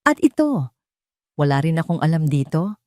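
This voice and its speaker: A woman's voice: sits low, very animated, normal speed, a little mellow, no echo, clean studio sound. A woman voicing a hurt, angry young wife who accuses and pleads in a Tagalog audio drama. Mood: wounded, defiant. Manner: accusing, pleading rhetorical questions.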